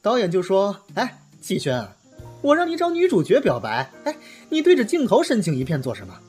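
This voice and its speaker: Actor as self-assured, lively male film star. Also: high voice, fairly quick, breathy edge, faint music behind, exaggerated voice. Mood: teasing. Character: confident, teasing male film star mentoring a newcomer. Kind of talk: Chinese audio drama acting.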